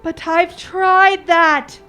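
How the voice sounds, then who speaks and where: A woman plays an anxious young English gentleman in a radio drama. The voice is high and worried, with music behind it. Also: steady tone, extremely slow, heavily acted. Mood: anxious, pleading.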